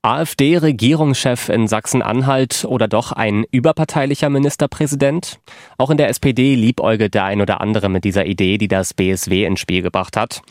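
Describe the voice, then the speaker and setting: A man reading the radio news as studio anchor in a measured, formal way. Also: lowish voice, dry recording. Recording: dry studio sound.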